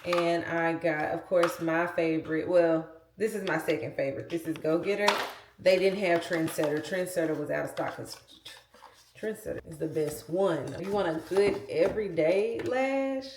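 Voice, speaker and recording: A woman's voice: on the low side, normal speed, background noise. An American woman in her late thirties chatting casually and slangily to her viewers like friends, as a lifestyle vlogger. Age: late 30s. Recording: loud music behind the voice. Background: loud background music.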